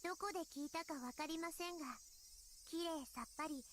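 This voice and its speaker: A woman voicing a small, sweet but eerily calm schoolgirl in an anime visual novel, childlike and polite. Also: high-pitched, very fast, slight background noise. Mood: calm, eerie. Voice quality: childlike, cutesy. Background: music, cicadas.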